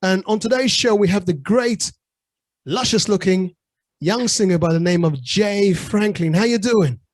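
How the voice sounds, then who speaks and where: Man, radio host, high voice, dry close sound, lots of "you know". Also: measured pace, darkish tone, dry recording. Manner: enthusiastic, repeats "you know", says "brilliant". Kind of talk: interview hosting.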